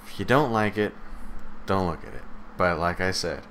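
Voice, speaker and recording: Low-voiced man, blunt podcast co-host. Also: expressive, moderate pace, a bit bright, a little hiss or hum. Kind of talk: casual podcast chat. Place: live multistreamed podcast.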